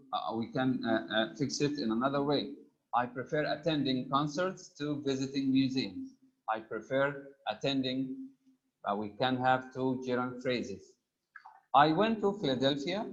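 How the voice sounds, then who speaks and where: A man teaching a grammar lesson, reading example sentences slowly, stressing each item and pausing on frequent "uh" fillers. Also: mid-range voice, fairly bright tone. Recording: dull lo-fi recording.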